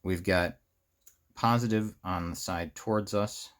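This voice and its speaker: Low-voiced American man, halting hands-on tutorial. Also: steady tone. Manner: halting, rambling, talks to himself while working. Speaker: host of a hobby electronics how-to series. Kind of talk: casual unscripted hands-on tutorial, thinking aloud.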